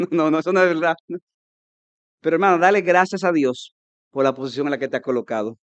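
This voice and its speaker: A Dominican man preaches a conference sermon in a fairly high voice, driving his points home with sudden loud peaks. He acts out his listeners' objections in quick mimicking asides. The sound is dry, with little room echo. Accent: Dominican Spanish. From Dominican Republic. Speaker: pastor.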